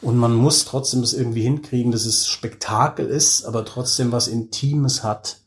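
Guy getting interviewed, medium pitch, chatty and colloquial, tells stories, kinda self-deprecating. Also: steady tone, normal speed. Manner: colloquial, self-deprecating, acts out quoted remarks. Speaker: interview guest, audio drama director. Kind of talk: casual interview talk, anecdotal.

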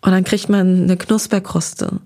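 A young adult woman, a restaurant critic, talking about food in a relaxed interview, lively and enthusiastic, in long flowing sentences. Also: lowish voice, measured pace.

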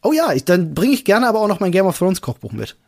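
Male podcast co-host, voice a bit high and rough-edged, enthusiastic, informal chat. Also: sing-song, fast talker, a little mellow.

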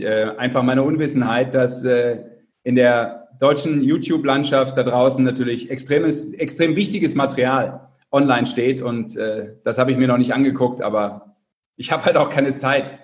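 A man co-hosting a sports podcast in casual banter, teasing with dry sarcasm. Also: mid-range voice.

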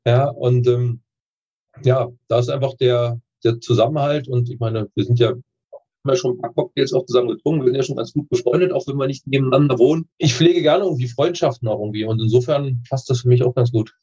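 A man answering casually as an interview guest, informal and matter-of-fact, with a halting way of building his sentences. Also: mid-range voice, warm tone, breathy edge. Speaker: interview guest, dentist with orthodontic practice. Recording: dull, muffled lo-fi connection.

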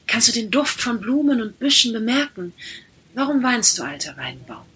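A woman voicing small sparrows and flowers in a fairy-tale audio play, gentle and innocent. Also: on the high side, big pitch swings, moderate pace, husky, exaggerated voice. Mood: innocent concern.

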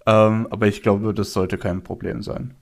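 A man with a fairly low voice hosts a relaxed podcast chat. He has a cold and sounds a bit stuffed up, and he talks in an easygoing, conversational way. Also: normal speed, creaky. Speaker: podcast co-host.

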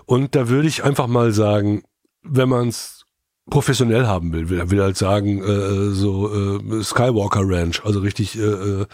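A man on a podcast explaining things casually as a sound specialist, with halting phrasing. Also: lowish voice, fairly even intonation, darkish tone, dry recording, clean studio sound.

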